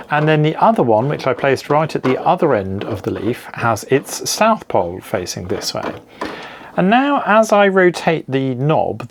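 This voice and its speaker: A man calmly explaining an electronics build step by step, in a clear, methodical narration. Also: medium pitch. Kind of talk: scripted technical narration.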